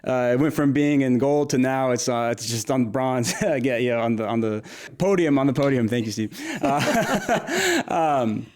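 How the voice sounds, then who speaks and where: A young man from Nashville talks easily as a guest in an interview. He laughs a lot and stops and restarts his sentences, sounding friendly and unhurried. His voice sits at an everyday middle pitch. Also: lively intonation, darkish tone. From Nashville, United States. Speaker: interview guest, food creator. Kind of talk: casual conversational interview.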